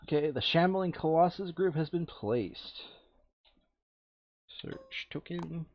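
A man casually playing through a board game, reading card text aloud and adding expressive, muttered asides. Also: a little high, takes it slowly, lo-fi sound.

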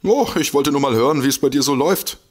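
An actor playing a nervous, fretful amateur detective in an audio drama, hesitant, flustered and muttering to himself. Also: male voice, mid-range voice, quick pace, breathy edge, natural voice. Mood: anxious. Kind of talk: German audio drama acting.

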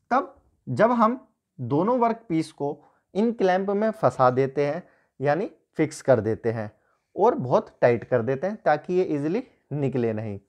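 A man in his thirties teaches an engineering topic, talking through each step as he sketches on a whiteboard. His voice swings widely up and down in pitch. He is picked up by a camera a few feet away in a small room. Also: darkish tone. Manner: explains step by step, pointing and drawing while talking. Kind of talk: whiteboard lecture.

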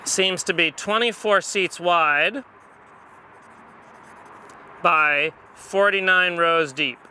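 A man's voice: sits high, extremely slow, sharp tone. A man in his forties with an American accent, teaching step by step and thinking aloud as he explains. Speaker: math educator. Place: outdoors in an empty football stadium. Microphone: lapel. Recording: outdoor ambient noise, distant traffic.

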